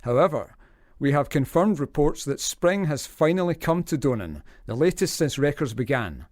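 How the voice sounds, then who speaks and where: An actor playing a formal radio newsreader in an audio drama, reporting in a scripted bulletin style. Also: male voice, fairly high pitch, sing-song, moderate pace, darkish tone, dry recording, clean studio sound. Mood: brisk, formal. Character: formal male radio newsreader, easily flustered. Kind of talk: news reading in audio drama.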